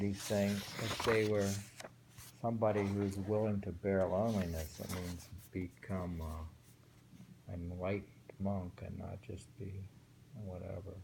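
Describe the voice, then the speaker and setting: A man's voice: lowish voice, gravelly, mellow, slight background noise, rough recording. A middle-aged man reading translated poems aloud and musing about them in a casual, thinking-aloud way, often rereading lines. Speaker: poetry reader.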